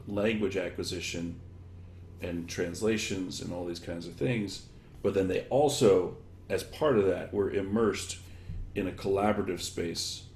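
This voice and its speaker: A man giving thoughtful, conversational interview answers, thinking aloud in halting, loose chains of examples. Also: low voice, darkish tone. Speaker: interviewed guest, education technology expert. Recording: echoey room, clear background noise.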